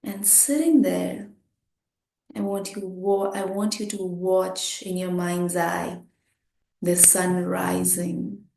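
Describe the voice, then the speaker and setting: A woman meditation teacher leading a guided meditation in short, gentle, unhurried phrases with pauses between them. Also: lowish voice, fairly even intonation, creaky. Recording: roomy, echoing sound.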